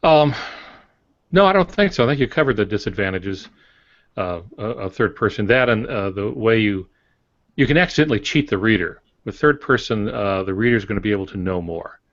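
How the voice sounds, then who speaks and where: A man who co-hosts a podcast and writes mysteries, talking about craft in a measured, hesitant way. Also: fairly low pitch, lively intonation, a bit bright, no room sound. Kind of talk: casual podcast discussion on writing craft. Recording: dry, dull lo-fi podcast audio.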